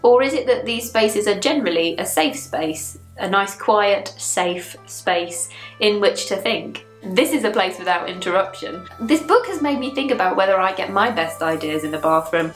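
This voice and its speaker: A woman's voice: medium pitch, animated, normal speed, soft background music. Thoughtful woman in an echoey bathroom. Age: young adult. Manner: thoughtful, musing, asks questions aloud. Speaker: photography vlogger. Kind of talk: casual reflective talk to camera. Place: small tiled bathroom, indoors. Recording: roomy small-bathroom echo.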